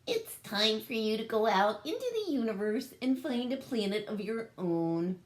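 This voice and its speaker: A woman's voice: on the high side, very animated, normal speed, pitched above the performer's own voice. A woman reads as a storybook alien mama, fond and fretful over her little ones. Her voice swings up and down with motherly care, in a noticeably echoey room. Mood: caring, cautioning.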